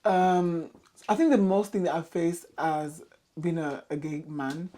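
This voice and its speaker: A man's voice: a little high, a little slow, dark tone. A man in his late twenties with a Nigerian Yoruba accent tinged with British, talking candidly and chattily as a guest in an interview. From born in Britain, raised in the United States. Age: late 20s. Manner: candid, chatty, frequent "do you know what I mean". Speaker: guest, makeup artist. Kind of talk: casual interview chat.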